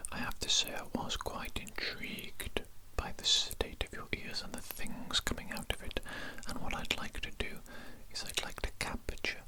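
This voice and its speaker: A woman's voice: natural voice, low-quality recording. A woman playing a smug, scolding evil doctor in a whispered, theatrical ASMR roleplay, condescending and repeating phrases. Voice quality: breathy unvoiced whisper. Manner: slow, repetitive, condescending, theatrical. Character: smug, scolding evil mad doctor, vain about their genius.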